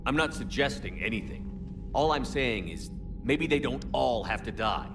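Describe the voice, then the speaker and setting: An actor playing a steady, resolute human military commander in measured video game dialogue. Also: male voice, mid-range voice, over music, lightly acted.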